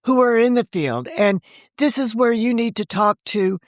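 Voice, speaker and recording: A woman in her sixties, a novelist guest on a remote interview, talking in a chatty, animated way and often jumping in over the host. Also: fairly high pitch, normal speed, no room sound, clean studio sound. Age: 60s. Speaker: novelist and podcast creator, guest. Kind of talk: casual interview chat.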